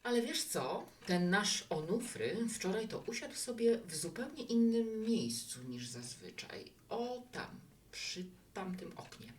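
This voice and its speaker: A woman's voice: medium pitch, distant mic, a little hiss or hum. An actress playing a chatty, romantic, hospitable woman who runs a bar in an audio drama. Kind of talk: Polish audio drama acting.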